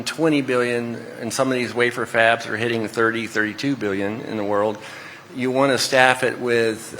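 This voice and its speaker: A man's voice: mid-range voice, a bit bright, a little hiss or hum, rough recording. A man with a Texan accent speaking as a panelist, casual and hesitant with frequent fillers. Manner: hesitant, conversational. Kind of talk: panel discussion.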